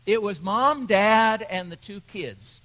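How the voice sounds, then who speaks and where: Male pastor, slow measured storyteller, slightly high voice, sermon delivery. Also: fairly bright tone.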